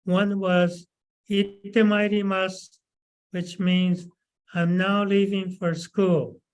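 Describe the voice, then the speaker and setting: A man in his nineties from Canada tells his memories at a slow pace. His voice sits a little high and stays very flat in pitch. He pauses often and fills the gaps with small sounds. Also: smooth. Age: 90s. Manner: slow, halting, many pauses and fillers. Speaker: webinar speaker. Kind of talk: recalled life story.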